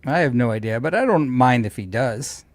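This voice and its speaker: Guy co-hosting a stream, just chatting, opinionated and teasing, normal pitch, dry room-free sound. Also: lively intonation, moderate pace, no room sound. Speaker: co-host or regular guest on a livestream. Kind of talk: casual chat.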